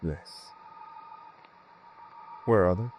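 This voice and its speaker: An actor in a 1940s radio drama playing a haunted scientist who narrates his ordeal in a brooding, hesitant way with dramatic pauses. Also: deep voice, a little mellow, slight background noise, low-quality recording. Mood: subdued, uneasy. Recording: vintage radio recording with music behind.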